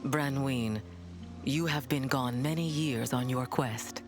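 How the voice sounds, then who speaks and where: A very low-voiced coven witch, solemn and weary. Her delivery is formal and measured, as in a fantasy game, with clear music behind her.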